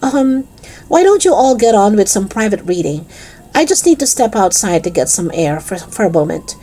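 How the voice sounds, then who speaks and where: A woman narrator voicing an excitable, easily wounded female schoolteacher in an audiobook, theatrical in manner. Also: medium pitch, moderate pace, pitched above the performer's own voice. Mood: wounded, flustered. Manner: declaiming, gushing.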